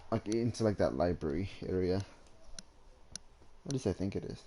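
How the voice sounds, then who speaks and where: Low-voiced man streaming a game. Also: normal speed, dark tone, slight background noise. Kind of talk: casual gameplay commentary.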